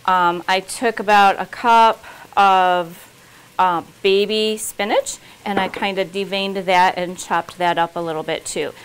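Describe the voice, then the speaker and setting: A woman's voice: mid-range voice, steady tone, a little slow, smooth, low-quality recording. A middle-aged woman hosting a cooking show in a home kitchen, chatting casually through each step with frequent ums and restarts.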